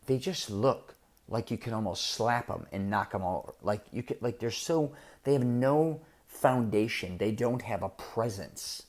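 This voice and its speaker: A man's voice: on the low side, a little slow, a little mellow. A middle-aged man hosting a podcast, giving blunt, direct advice in a casual monologue. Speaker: podcast host.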